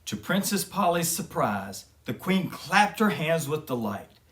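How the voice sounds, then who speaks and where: Soft-spoken man reading a children's story. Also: fairly high pitch, very animated, a little slow. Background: guitar music.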